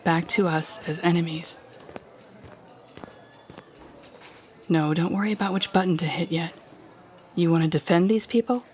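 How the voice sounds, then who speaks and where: Woman acting a low-voiced, menacing villainess. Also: a little mellow, a little hiss or hum, natural voice. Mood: scornful. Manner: commanding, taunting, deliberate. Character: cold, menacing telepathic villainess taunting a captive hero. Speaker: audio roleplay performer. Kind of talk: intimate second-person audio roleplay acting.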